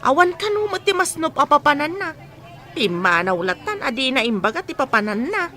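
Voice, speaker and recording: Woman acting high, animated Ilocano housemaid, gossipy. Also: fairly quick, heavily acted. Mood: gossiping, exasperated. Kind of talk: Ilocano radio comedy drama acting.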